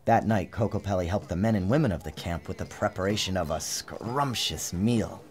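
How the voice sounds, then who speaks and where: A man giving a dramatic, expressive narration of a storybook, theatrical in his phrasing. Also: low voice, moderate pace. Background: music.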